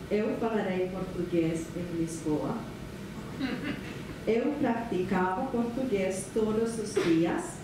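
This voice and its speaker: A middle-aged woman from Finland with a Finnish accent, giving a relaxed, chatty conference lecture with frequent fillers. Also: medium pitch. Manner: relaxed, chatty, laughs often, many fillers. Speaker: guest lecturer. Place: large lecture hall. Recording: echoey hall, far from the mic, room noise.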